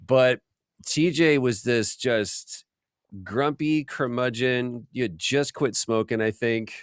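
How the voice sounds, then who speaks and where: A man hosting a casual, chatty workshop interview, telling stories in an informal and animated way. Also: mid-range voice, slow, clean tone, no echo, studio quality. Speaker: host.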